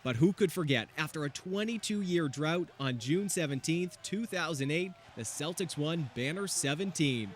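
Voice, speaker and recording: A man's voice: fairly high pitch, animated, normal speed, hardly any pauses, a little hiss or hum. A man narrating a scripted TV news feature as a reporter.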